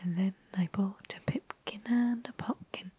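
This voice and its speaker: A woman's voice: medium pitch, unhurried, mellow, breathy edge. A woman voicing a chorus of tiny, cheeky male mice singing rhymes in a whisper. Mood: playful. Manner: whispered sing-song.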